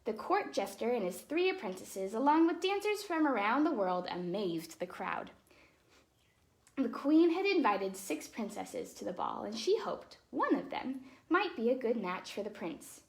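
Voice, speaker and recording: A woman's voice: fairly high pitch, sing-song, unhurried, creaky. Woman reading a children's storybook expressively. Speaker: storytime host and ballet dancer.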